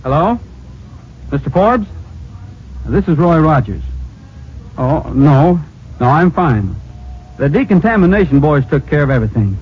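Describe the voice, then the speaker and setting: An actor playing a calm, concerned, plainspoken hero in an old-time radio drama. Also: male voice, on the high side, unhurried, pauses often, slightly rough, full character voice. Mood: calm, matter-of-fact. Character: calm, concerned, open-minded hero investigating a mystery. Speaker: lead actor of the series. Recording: muffled old radio recording, faint hiss.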